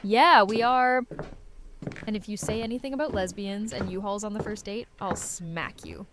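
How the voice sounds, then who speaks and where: A woman's voice: medium pitch, normal speed, noisy background, natural voice. A woman playing a sassy, casual survivor in her twenties in an audio drama, playful and blunt. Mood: wry.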